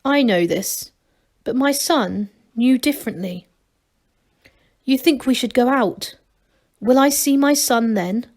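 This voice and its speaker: A woman voicing a grieving old gnome duke in a storybook, soft-spoken, terse and commanding. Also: a little high, slow pace, pauses often. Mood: sombre.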